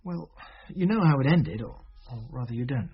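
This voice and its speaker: Man playing an anxious youth, animated pitch. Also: normal speed, lightly acted. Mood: rueful, hesitant. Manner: hesitant, defensive. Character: anxious, apologetic well-off youth questioned by police. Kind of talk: radio drama acting. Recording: dull, faintly noisy radio drama recording.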